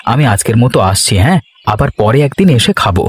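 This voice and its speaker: A man's voice: mid-range voice, very animated, quick pace, background noise, close to an everyday voice. A man voicing a dutiful, good-natured husband and nephew in a cartoon, obliging and eager to explain. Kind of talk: cartoon voice acting.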